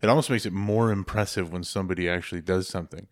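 A man's voice: low-pitched, steady tone, rapid-fire, no room sound. A man hosting a podcast who leads a casual chat with joking, teasing banter. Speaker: podcast host.

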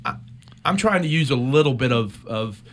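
A middle-aged American man, a military veteran, recounting a personal war story in an interview with measured, reflective pacing and frequent pauses. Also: medium pitch, expressive, a bit bright. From United States.

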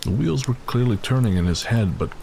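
A man's voice: mid-range voice, expressive, fairly quick, vocal fry, dark tone, slight background noise. A man giving scripted, dramatic narration for an audio story. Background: music, rain and storm sound effects.

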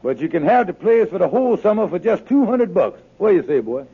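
A slippery, fast-excusing schemer from an old radio comedy, with a high, creaky voice. He hems and haws in folksy dialect as he talks up a bad deal. The recording is narrow, noisy and clicky. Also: very animated, exaggerated voice. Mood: smooth-talking. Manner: folksy dialect, hemming and hawing salesmanship. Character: blustering, slippery con man passing off a shack. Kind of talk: 1950s radio sitcom acting.

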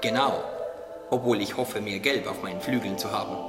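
A man voicing a wise, gently teasing caterpillar in a radio play, explaining things earnestly. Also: fairly low pitch, moderate pace, lightly acted. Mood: wryly teasing. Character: wise, teasing caterpillar confidant, calmly preaching hope. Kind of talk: German religious audio drama acting. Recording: echoey reverberant hall, music underneath.

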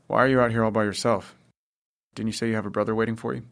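An actor playing a gruff, impatient adult man who speaks bluntly in an audio drama. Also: fairly low pitch, fairly even intonation, very fast.

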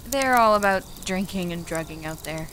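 An American actress playing a young, cynical warehouse picker, wry and argumentative, in a naturalistic audio drama. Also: female voice, lowish voice, animated, moderate pace, fairly bright tone, a little hiss or hum. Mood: guarded.

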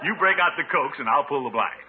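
Actor as a blustery, pompous radio-comedy bachelor. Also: male voice, on the high side, expressive, quick pace, natural voice. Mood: hearty. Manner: blustery, theatrical, exclamatory. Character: pompous, needy middle-aged bachelor putting up a front. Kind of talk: 1950s radio comedy acting. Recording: noisy, muffled 1950s radio recording.

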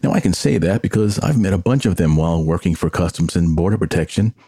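An older man speaks in a deep, mellow voice, recorded dry with very little room sound. He hosts a solo podcast, sharing his opinions in a conversational monologue. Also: quick pace, slightly rough, dry recording. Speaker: podcast host.

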